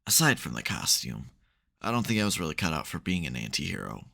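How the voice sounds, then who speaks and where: A man's voice: rumbling low, very animated, fast talker, gravelly, bright, forceful tone, full character voice. A man playing a smug, theatrical supervillain who secretly cares, in a dramatic, wry, chatty way for an ASMR roleplay. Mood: flustered, fond.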